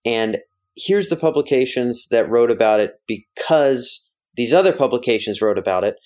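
Male podcast interviewer, American, informal and wordy, thinks out loud, mid-pitched conversational delivery. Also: lively intonation, normal speed. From United States. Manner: wordy, informal, thinks aloud while paraphrasing. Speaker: podcast host interviewing a guest.

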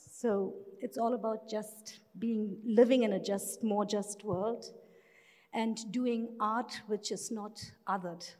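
A woman with a German accent speaking as a panel guest, deliberate and firm with small laughs. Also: mid-range voice, darkish tone. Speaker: panel guest, cultural policy worker. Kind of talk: panel talk. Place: live event hall with audience. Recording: reverberant hall.

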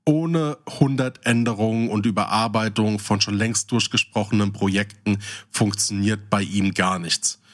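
A man's voice: on the low side, steady tone, moderate pace, fairly bright tone. A man co-hosting a podcast, switching between casual chat and reading out short descriptions. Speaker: podcast co-host.

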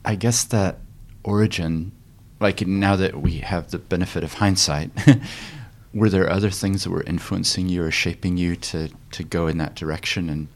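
A man in his forties, raised in New Zealand, hosting a podcast interview and asking curious, searching questions with some hesitation. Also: low voice. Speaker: podcast host. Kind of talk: casual conversational interview.

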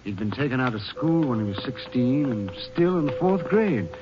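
An actor playing an earnest, worried American hospital doctor in a 1950s radio drama, speaking gravely and haltingly. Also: male voice, medium pitch, very animated, natural voice. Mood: grave, explanatory. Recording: muffled, noisy old radio recording.

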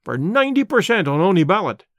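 A man's voice: sits high, very animated, fast, no room sound, exaggerated voice. Man voicing a fiery old Scottish radical. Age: older. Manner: broad dialect, forceful and opinionated. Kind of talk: audiobook character voice.

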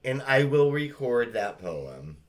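A man's voice: mid-range voice, very animated, slow pace, a little hiss or hum. A middle-aged man co-hosting a podcast, talking casually and reading aloud for fellow fans. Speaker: podcast co-host. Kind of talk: casual nostalgic chat, dramatic poem reading.

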